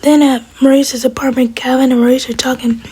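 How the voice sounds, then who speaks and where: Whispering woman for an ASMR show recap: dark, mellow tone, wry asides. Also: sits high, flat delivery, brisk. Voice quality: whispering, breathy. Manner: wry, gossipy commentary.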